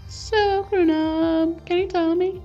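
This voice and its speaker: A man voicing a sweet, innocent, childlike anime girl in a visual novel, in falsetto. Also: steady tone, measured pace, dark tone, lo-fi sound, faint music behind. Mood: innocent, puzzled.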